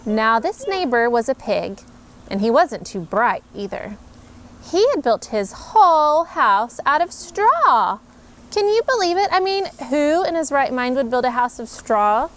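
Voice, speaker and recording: A woman, a mother, reading a picture book aloud to her children in an animated, expressive way with playful emphasis. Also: high-pitched, unhurried. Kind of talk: storybook read-aloud.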